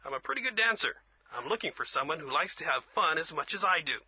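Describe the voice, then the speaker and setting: A man's voice: on the high side, expressive, fast talker, slightly rough, no echo. A man in his thirties acting out a casual self-introduction for a listening exercise. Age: 30s. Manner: casual, self-describing monologue. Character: outgoing young man describing himself. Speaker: dialogue actor. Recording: dull dry lo-fi audio.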